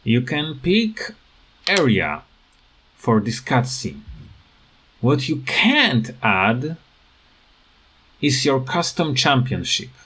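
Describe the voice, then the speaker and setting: A man in a casual rant over game footage, speaking with halting, non-native phrasing as a gaming video creator. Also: medium pitch, wildly swooping pitch, fairly bright tone, a little hiss or hum.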